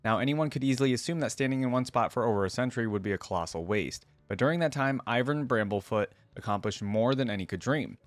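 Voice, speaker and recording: A man's voice: mid-range voice, animated, fast talker, few pauses, no echo. A man hosting a reaction video, reading a story aloud expressively and adding casual commentary.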